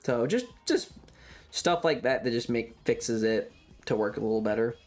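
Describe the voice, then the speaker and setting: A streamer with a mid-range voice talks in a loose, chatty livestream style. The delivery is opinionated and rambling, circling back to repeat points and swearing casually. Music plays clearly in the background. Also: medium pitch, animated, moderate pace. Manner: casually profane. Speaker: variety streamer.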